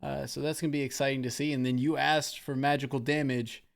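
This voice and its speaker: Dude co-casting a video game match, talking through plays, average pitch. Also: male voice, level tone, quick pace, vocal fry. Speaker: esports shoutcaster. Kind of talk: live game commentary and analysis.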